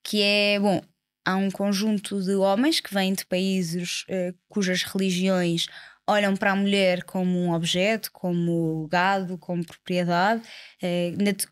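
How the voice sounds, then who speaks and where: A woman's voice: lowish voice, no room sound. A young woman in her twenties with a European Portuguese accent, a politician guest on a casual podcast who explains her views at length, earnestly and with many fillers. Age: 20s.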